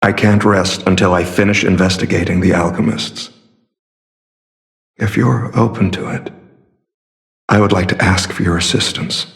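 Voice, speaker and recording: A man playing a secretive, earnest masked warrior in a video game, speaking in a measured, formal and solemn way. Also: sits low, fairly even intonation, creaky, fairly bright tone. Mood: grave, resolute. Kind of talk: scripted game character dialogue.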